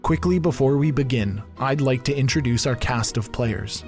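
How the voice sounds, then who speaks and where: A man's voice: medium pitch, normal speed, a little mellow, soft background music. A man hosting a special, reading a scripted introduction as its narrator.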